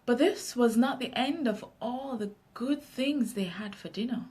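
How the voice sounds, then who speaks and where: Slow, high-pitched Jamaican woman storyteller. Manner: expressive, deliberate storytelling for young listeners. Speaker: children's storyteller. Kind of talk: read-aloud children's story narration.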